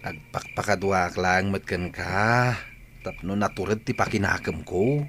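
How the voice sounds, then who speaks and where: An actor playing a shy, polite young farmer in love, with an Ilocano accent, in a radio comedy drama. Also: male voice, low-pitched, fairly even intonation, a little slow, a bit bright, a little hiss or hum. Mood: nervous, embarrassed.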